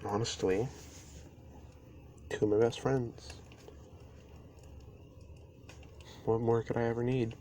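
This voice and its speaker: A man playing a caring, easygoing best friend in an intimate roleplay audio, speaking softly and haltingly to the listener. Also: mid-range voice, natural voice. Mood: content, tender. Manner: halting, unhurried, gentle. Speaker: amateur audio roleplay performer. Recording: steady ambient noise behind voice. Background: campfire ambience.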